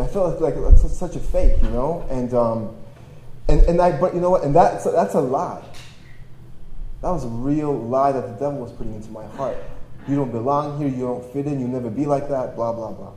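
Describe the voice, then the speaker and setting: Jokey priest preaching in an echoey room. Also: male voice, mid-range voice, mellow. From Toronto, Canada, born in Poland. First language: Polish. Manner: conversational, self-deprecating, jokey, slangy. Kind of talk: informal personal testimony preaching to young adults. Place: large room at a live gathering, through PA. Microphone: stage microphone. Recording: echoey live hall, faint noise.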